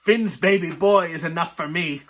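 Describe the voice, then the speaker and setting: A man playing a boastful but easily alarmed giant in a storybook reading, loud and exclamatory. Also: fairly high pitch, moderate pace. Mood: alarmed, complaining. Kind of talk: storybook character voice.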